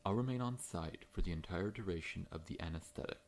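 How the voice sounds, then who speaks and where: Man voicing a very deep, gentle monster dentist. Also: fast, slight background noise. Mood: calm, professional. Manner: gentle, reassuring, professional bedside talk. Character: large, friendly, scorpion-like monster dentist with many legs. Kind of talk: ASMR roleplay.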